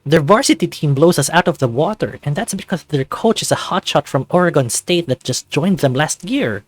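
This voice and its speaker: A man voicing a grumpy, excitable teenage boy on a school soccer team in a visual novel, exasperated and sarcastic. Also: on the high side, fairly quick, no echo, voice pushed up. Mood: agitated ranting.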